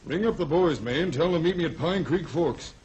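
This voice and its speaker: A man's voice: a little high, a little fast, darkish tone, natural voice. An actor playing a commanding sheriff rallying his posse in an old-time radio western, on a noisy old recording. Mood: urgent.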